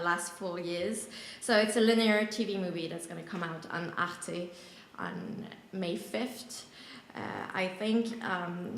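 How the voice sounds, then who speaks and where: Woman, French accent, low-pitched, hesitant with fillers, echoey hall, faint ambience. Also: slight background noise. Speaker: panel presenter. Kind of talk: conference panel presentation. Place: large event room.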